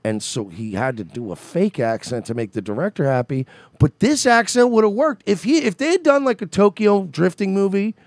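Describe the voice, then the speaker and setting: Animated male podcast co-host. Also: sits high, normal speed, few pauses, no echo. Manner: animated storytelling, mimics people he met. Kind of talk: casual chat. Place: home studio with red curtain.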